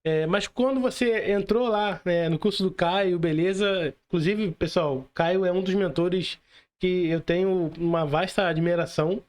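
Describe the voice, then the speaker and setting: Brazilian man, high-pitched voice, podcast host, informal, slangy, casual interviewing. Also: unhurried, darkish tone.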